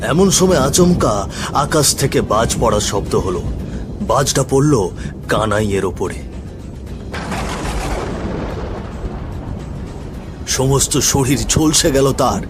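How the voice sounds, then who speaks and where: Man playing an old village grandpa telling a cartoon ghost story, slow and dramatic, music behind. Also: medium pitch, expressive, close to an everyday voice. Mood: grave.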